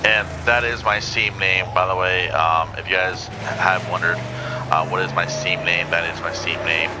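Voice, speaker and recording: A man with a very low voice talks loosely through a racing game, circling back on his own sentences and filling gaps with ums. Loud car engines roar the whole time under his words. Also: fairly even intonation, normal speed, very noisy. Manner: rambling, chatty, many ums and restarts. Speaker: gaming channel host. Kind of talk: casual gameplay commentary.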